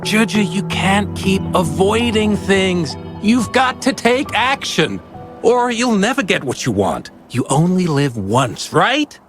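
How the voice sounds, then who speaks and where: A man's voice: sits high, big pitch swings, slow, a bit bright, faint music behind, heavily acted. A man playing a chatty, theatrical shopkeeper in a video game, breezy, teasing and self-assured. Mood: encouraging, teasing.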